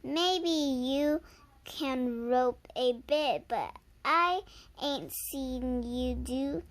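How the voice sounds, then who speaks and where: A woman's voice: high-pitched, lively intonation, very slow, heavily acted. A young girl reading the part of a feisty, boastful cowgirl in a tall tale, with folksy, defiant Western talk.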